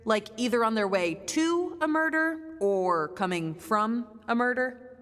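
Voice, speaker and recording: A woman's voice: on the high side, expressive, measured pace, a bit bright, in a large hall. A woman voicing a casual, wry mercenary in a video game, offhand and slangy.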